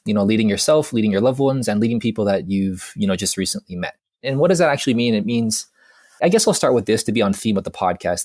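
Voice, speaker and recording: Low-voiced young man, rambling and casual. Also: darkish tone, dry recording, clean studio sound. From Hawaii. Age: 20s. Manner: rambling and self-deprecating, with frequent fillers. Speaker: guest, mindset coach. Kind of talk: casual interview chat.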